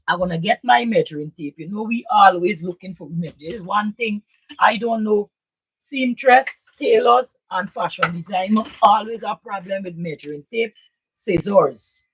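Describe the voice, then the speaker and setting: A hoarse woman, chatting casually through a live sewing tutorial, repeating her points and often checking in with "right?". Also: fairly low pitch, dry recording, rough recording. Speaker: sewing and pattern-drafting teacher. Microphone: camera set up in front of her.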